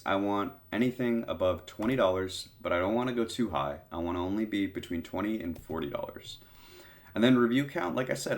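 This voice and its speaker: A young man with a stuffy, congested voice casually explaining his method in a tutorial, with lots of "um"s. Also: low-pitched. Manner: relaxed, filler-heavy explaining with many "um"s. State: congested from a cold. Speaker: online seller teaching product research. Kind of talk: casual screen-recorded tutorial.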